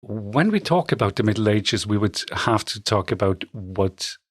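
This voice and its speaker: A man speaking as a guest scholar in an interview, answering thoughtfully with frequent pauses and ums. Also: lowish voice, lively intonation, slightly rough, no echo, clean studio sound. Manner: hesitant, thoughtful, many ums.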